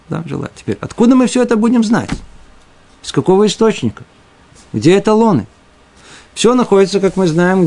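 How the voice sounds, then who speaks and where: A man's voice: a little high, darkish tone. A man giving an explanatory religious lecture as a teacher, didactic, often posing rhetorical questions and answering them himself.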